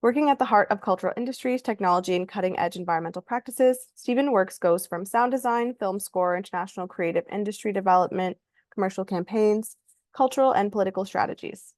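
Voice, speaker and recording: A woman's voice: mid-range voice, fast talker, a little mellow, no room sound, clean studio sound. A woman hosting a talk, reading a scripted, formal introduction of a guest with the occasional stumble. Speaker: host introducing a guest speaker. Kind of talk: scripted introduction read aloud.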